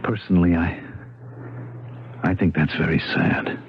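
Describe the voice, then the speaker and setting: Low-voiced, exasperated American husband, old radio. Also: male voice, fairly even intonation, measured pace. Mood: opinionated, earnest. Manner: emphatic, musing, repeats himself. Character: plain-spoken, exasperated, middle-aged husband of an actress. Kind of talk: 1970s radio drama monologue. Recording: muffled old radio recording, faint noise.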